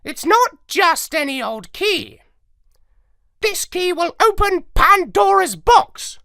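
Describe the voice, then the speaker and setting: A man's voice: very high voice, big pitch swings, slow, vocal fry, bright, forceful tone, no room sound, studio quality, pitched above the performer's own voice, heavily acted. A man voicing a bossy, scornful parrot major with a squawky voice and a sneering manner for a children's story. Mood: knowing. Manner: sneering, insulting.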